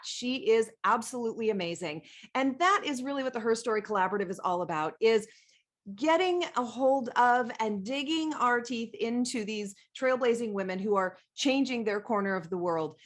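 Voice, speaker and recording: A woman's voice: fairly high pitch, fairly bright tone. A middle-aged woman hosting an interview podcast, speaking in an effusive, emphatic and enthusiastic way. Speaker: podcast host.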